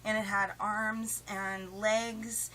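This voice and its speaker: A woman's voice: mid-range voice, flat delivery, very slow, background noise. A woman in her late thirties from West Virginia, chatting and giggling through a casting audition video. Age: 30s. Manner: chatty, giggly, self-described goofball. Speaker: casting applicant.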